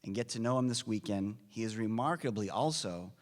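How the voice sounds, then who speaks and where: A man giving an earnest, prepared introduction of a guest speaker at a conference forum, in a measured delivery. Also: fairly low pitch. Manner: earnest, measured delivery, reads off names and figures. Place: large conference hall. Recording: long reverb of a large hall.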